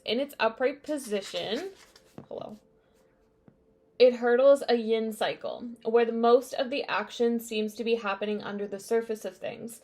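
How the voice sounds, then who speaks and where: A woman's voice: mid-range voice, a little mellow. A young woman giving a casual tarot card reading, chatty and meandering, with many fillers and asides. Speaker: tarot reader.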